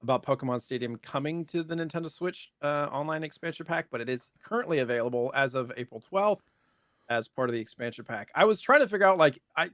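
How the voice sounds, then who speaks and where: A man's voice: a little high, expressive, fast talker, fairly bright tone, no room sound, clean studio sound. A man co-hosting a podcast in casual chat, joking and playing along. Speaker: podcast co-host.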